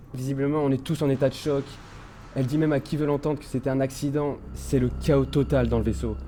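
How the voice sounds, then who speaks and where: A man's voice: mid-range voice, close to an everyday voice. A man playing an anxious ship's engineer recording logs in an amateur science fiction audio drama. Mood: shaken. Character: male ship engineer, anxious officer under mounting strain.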